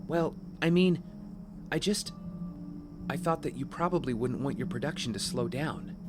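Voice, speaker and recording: A man's voice: mid-range voice, normal speed, background noise, close to an everyday voice. An actor playing a flustered, evasive young rich man in an audio drama, apologetic and hesitant. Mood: uneasy politeness. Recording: dramatic music behind the voice.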